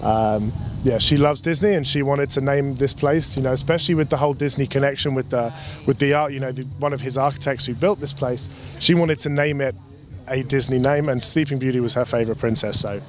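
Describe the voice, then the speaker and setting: A young man at an outdoor table at a winery, explaining wine in a relaxed, chatty way with many restarts, into a handheld mic. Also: mid-range voice, fairly quick. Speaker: winery representative. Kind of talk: casual explanatory talk. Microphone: handheld mic held by the interviewer.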